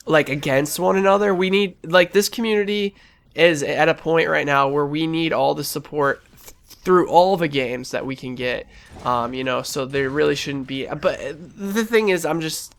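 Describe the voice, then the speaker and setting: A young man commentating over his gameplay in a casual, rambling, enthusiastic way, sounding stuffy and a little hoarse. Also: on the high side, fairly bright tone. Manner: rambling, enthusiastic, lots of fillers and restarts. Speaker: gaming channel host.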